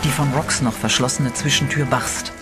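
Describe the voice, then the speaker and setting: A man narrating a horror radio play in a steady, dramatic storytelling voice, with eerie music behind him. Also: medium pitch, normal speed.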